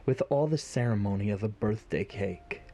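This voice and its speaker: A man's voice: lowish voice, moderate pace, dark tone, faint music behind. A man narrating a scripted fantasy story in an audio drama.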